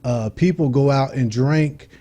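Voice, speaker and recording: Mellow-voiced man. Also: medium pitch, unhurried. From Detroit, USA. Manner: informal, earnest, explains with everyday examples. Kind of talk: casual talk-show conversation.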